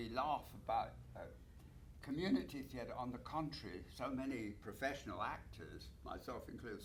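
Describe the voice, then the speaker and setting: A man's voice: mid-range voice, slight background noise, poor audio. Rambling male panel moderator. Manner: rambling, gropes for words with many fillers and restarts. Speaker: moderator and professional actor.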